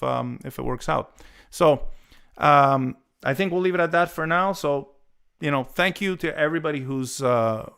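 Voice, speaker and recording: A man's voice: medium pitch, vocal fry, fairly bright tone, clean tone. A man in his forties, born in Italy with Italian as his first language, chatting casually on a live stream with many fillers and restarts. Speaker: guitar channel host.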